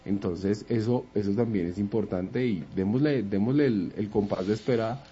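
Male Bogotá commentator, dark husky low voice, patient explainer, lo-fi radio sound. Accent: Bogotá Spanish. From Bogotá, Colombia. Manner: measured, explanatory, many fillers. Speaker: political commentator. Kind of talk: radio panel discussion.

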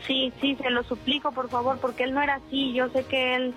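A woman's voice: sits high, level tone, a little fast, fairly bright tone, soft background music. A woman, calling in hesitantly and deferentially for advice. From El Salvador. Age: 50s. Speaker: caller seeking advice. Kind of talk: recounting a personal problem.

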